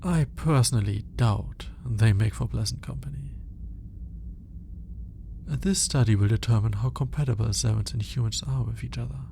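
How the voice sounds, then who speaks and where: A man playing a cold, condescending alien scientist in an ASMR roleplay, speaking in a clinical, formal, deliberate way. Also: mid-range voice, gravelly, warm tone, slight background noise, lightly acted. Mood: clinical, intrigued. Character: cold, aloof male alien scientist studying a human captive.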